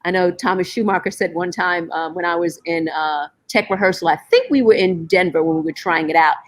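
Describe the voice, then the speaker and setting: A woman's voice: fairly low pitch, a little fast. A middle-aged woman from Brooklyn, a stage manager, chatting as a guest over a video call and leaning on fillers as she talks. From Brooklyn, New York, USA. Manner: conversational, leans on "you know" and "um". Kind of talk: casual interview chat.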